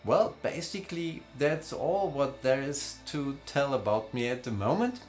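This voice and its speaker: A German actor in his forties with a German accent, presenting himself slowly and playfully in a studio demo reel. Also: medium pitch, expressive, faint music behind. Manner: slow, deliberate, playful, with laughs. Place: green screen studio.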